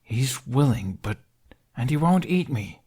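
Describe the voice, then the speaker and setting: A man's voice: medium pitch, very animated, a little slow, warm tone, husky, voice pushed up, heavily acted. A man voicing a stubborn, daring teenage boy in an audiobook story, bold and insistent. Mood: confident.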